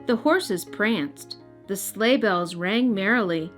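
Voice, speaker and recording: A woman's voice: medium pitch, takes it slowly. A woman hosting and narrating a children's read-aloud, speaking expressively and directly to young listeners. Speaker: host and storybook reader. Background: music.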